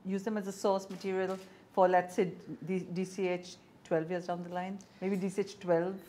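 A middle-aged woman, hosting an interview with brisk questions and short affirmations. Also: on the low side, little pitch movement, dark tone. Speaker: interviewer, show host.

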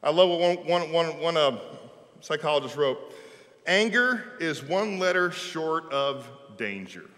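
A middle-aged pastor, preaching an animated, folksy sermon from a pulpit in a large church sanctuary. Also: male voice, fairly high pitch. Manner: animated preaching, folksy with "y'all", gestures while speaking. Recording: long church reverb.

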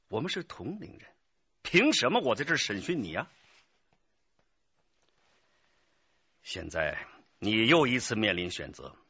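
An actor playing a stern, earnest young police detective lecturing a suspect in a radio drama. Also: male voice, mid-range voice, sharp tone, breathy edge, full character voice. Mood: stern, urgent. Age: 20s. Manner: emphatic, lecturing. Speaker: police interrogator. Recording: dull, muffled lo-fi audio.

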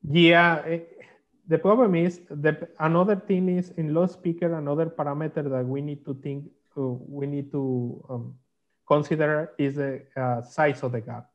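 A man explaining technical slides in halting, on a video call. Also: on the high side, steady tone. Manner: halting, non-native English phrasing. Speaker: guest, magnetics consultant. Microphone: video call microphone. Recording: dull lo-fi online call.

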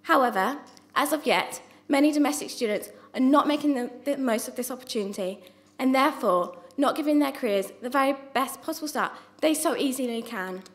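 A woman's voice: sits high, brisk. A young woman student, not from New Zealand, giving an earnest prepared speech from notes in a university lecture theatre into a stand microphone, with a few small restarts. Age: young adult. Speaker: student presenter.

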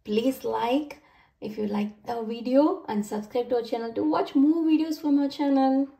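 A woman reading a storybook aloud to children with an expressive, sing-song delivery, addressing them directly. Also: high-pitched, normal speed, vocal fry, distant mic. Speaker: children's story reader, channel host.

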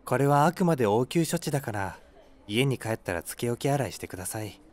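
男性が演じる真面目で少し天然な青年. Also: male voice, medium pitch, animated, brisk, a little mellow, close to an everyday voice. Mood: helpful. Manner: polite, unassuming. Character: earnest, mild, slightly airheaded young male product developer. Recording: faint music behind the voice.

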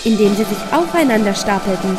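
A woman's voice: mid-range voice, animated, a little fast. A woman narrating a scripted fairy tale for children as the storyteller. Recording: long reverb under prominent music.

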